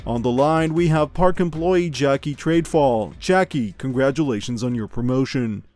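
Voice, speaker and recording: Man acting a deadpan radio news anchor. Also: medium pitch, soft background music, close to an everyday voice. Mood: composed, dry. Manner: deadpan, measured announcer delivery. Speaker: host. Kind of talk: scripted news broadcast parody.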